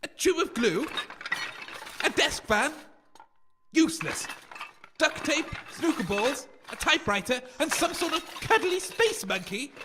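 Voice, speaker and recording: An actor playing a grumpy, anxious radio co-host in a comic audio drama, fretful, complaining and dramatic. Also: male voice, extremely high pitch, sing-song, unhurried, long pauses, background noise, exaggerated voice. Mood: scornful.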